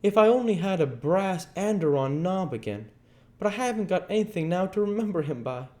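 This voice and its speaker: A man putting on a high-pitched, sorrowful young schoolgirl. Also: expressive, fairly quick, darkish tone. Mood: tearful regret. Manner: plaintive, theatrical storybook delivery. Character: melancholy young schoolgirl soliloquizing with regret.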